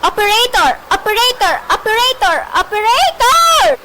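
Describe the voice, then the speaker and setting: A woman's voice: extremely high pitch, very animated, moderate pace, exaggerated voice. A woman playing a frantic, imperious telephone caller in an amateur suspense radio play, melodramatic and insistent. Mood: exasperated, insistent. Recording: noisy amateur recording with faint hiss.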